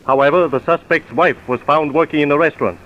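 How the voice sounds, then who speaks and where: An actor playing the sober narrator of a crime drama, in scripted old-time radio style. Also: male voice, on the high side, fast talker, fairly bright tone, close to an everyday voice. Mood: sober, dramatic. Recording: dull vintage radio recording.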